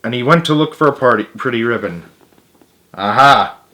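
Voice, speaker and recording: A man's voice: mid-range voice, moderate pace, poor audio. A man reading a story aloud expressively, dropping in muttered asides of his own. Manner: expressive, with emphatic character lines and muttered asides.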